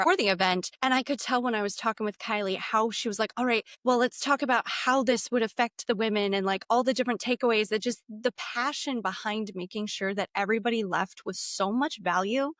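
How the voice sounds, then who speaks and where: A woman's voice: fairly high pitch, fairly quick, fairly bright tone, no room sound, studio quality. A woman podcast host who keeps affirming her guests with agreeing murmurs in a casual interview.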